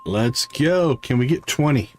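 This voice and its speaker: A man's voice: medium pitch, animated, measured pace, a little mellow, soft background music. A man hosting a livestream with casual, playful gameplay commentary, half talking to himself. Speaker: livestream host.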